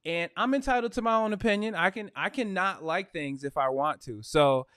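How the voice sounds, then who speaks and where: A man's voice: sits high, moderate pace, a bit bright, clean tone, no echo, clean studio sound. A man, a realtor chatting casually and upbeat on a livestream, with plenty of "uh" and "you know". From Michigan. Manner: chatty, upbeat, with frequent fillers.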